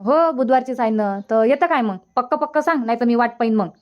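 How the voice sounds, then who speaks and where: Woman playing an older cartoon village mother, slightly high voice, fond, insistent, rural Vidarbha Marathi accent. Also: fast, highs cut off, close to an everyday voice. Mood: fond, agreeable. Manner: colloquial, insistent. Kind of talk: cartoon comedy dialogue.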